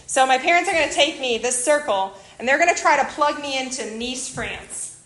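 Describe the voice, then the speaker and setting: A woman from Illinois with a Chicago accent, giving a chatty, animated church talk to a group about a missionary kids program. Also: high-pitched, moderate pace, rough recording. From Illinois, USA. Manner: chatty, animated, self-deprecating asides. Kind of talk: informal church presentation to a group.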